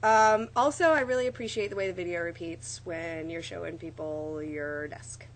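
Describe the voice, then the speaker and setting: A young woman, a little hoarse, chatting in a rambling, bubbly way to her friends from a small dorm room on a webcam. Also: lowish voice, normal speed, rough recording. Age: young adult. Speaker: vlogger. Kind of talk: casual chat to friends. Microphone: webcam at close range.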